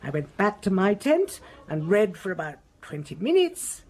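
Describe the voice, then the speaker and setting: A haughty lady in a radio drama. She is brisk, fussy and precise, with a husky voice and sweeping intonation. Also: medium pitch, sing-song, a little mellow. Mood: brisk, disapproving. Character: imperious, fussy titled English lady witness.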